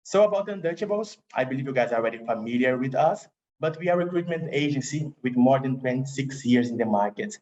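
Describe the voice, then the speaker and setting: A man's voice: mid-range voice, expressive, fast, darkish tone, lo-fi sound. Upbeat Brazilian man presenting a webinar. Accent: Brazilian Portuguese. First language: Portuguese. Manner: upbeat, addresses the audience as "guys", slightly non-native grammar. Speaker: recruiter co-hosting a webinar.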